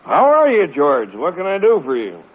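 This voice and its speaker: Folksy small-town sheriff, muffled radio recording. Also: male voice, a little high, wildly swooping pitch, moderate pace. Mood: alarmed, urgent. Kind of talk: 1950s radio drama acting. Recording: dull, noisy old-time radio recording.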